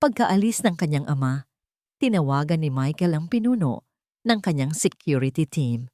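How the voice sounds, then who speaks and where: Lady narrating a dramatic audio story, lowish voice, very dry sound with no room. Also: expressive, normal speed, warm tone, dry recording.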